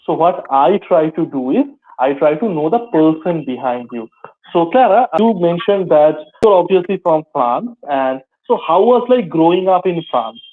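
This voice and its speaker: A man with an Indian accent hosts an informal interview. His voice is a little higher than average, and he restates his questions as he goes. It comes through thin and narrow, like a phone line. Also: animated, moderate pace, slight background noise. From Kolkata, India. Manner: repeats and rephrases questions, informal. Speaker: interviewer, host. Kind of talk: casual interview. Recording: thin, phone-like, radio-sounding call audio.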